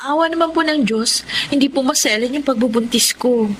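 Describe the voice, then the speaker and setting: A woman's voice: sits high, brisk, a little hiss or hum, lightly acted. A woman playing a humble young pregnant college student in a radio drama, polite and deferential. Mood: resigned. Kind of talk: Tagalog radio drama acting.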